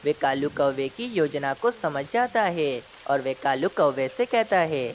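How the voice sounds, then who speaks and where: A woman's voice: deep voice, lively intonation, a little slow, a little hiss or hum. A woman narrating a scripted children's story as its storyteller.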